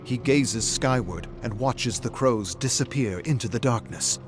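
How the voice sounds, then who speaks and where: A man narrating a dramatic scripted story in a measured, suspenseful way. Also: mid-range voice. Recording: music behind the voice. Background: background music.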